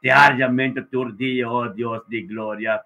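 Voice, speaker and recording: A man's voice: mid-range voice, fairly even intonation, takes it slowly, vocal fry, sharp tone. A man leading a fervent spontaneous prayer as a preacher, calling out in short, repetitive, emphatic bursts, on a tinny, band-limited recording. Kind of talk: extemporaneous devotional prayer of thanksgiving and blessing.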